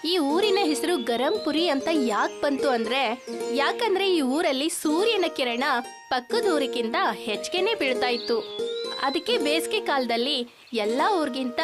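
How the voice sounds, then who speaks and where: A woman narrating a scripted animated moral tale as its storyteller. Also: sits high, measured pace, over loud music.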